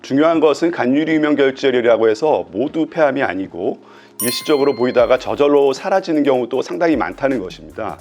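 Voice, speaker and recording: A male medical professor explains step by step in a calm, methodical voice of middle pitch. He pauses now and then to gather his thoughts. Faint music sits under his voice. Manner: calm, methodical, with thinking fillers. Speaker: pulmonology professor.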